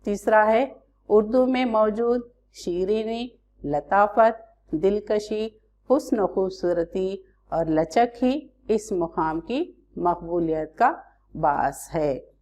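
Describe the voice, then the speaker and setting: A woman's voice: medium pitch, slow pace, warm tone. A woman teacher from India giving an online class, asking pupils questions and explaining carefully.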